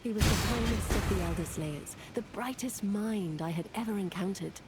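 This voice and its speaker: An actress playing a weary, regretful woman in a video game, narrating in a solemn, measured, reflective way. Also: mid-range voice, heavy background noise, close to an everyday voice. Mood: mournful, regretful. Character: weary, regretful woman, solemn fantasy mapmaker recalling loss. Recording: loud cinematic music behind the voice.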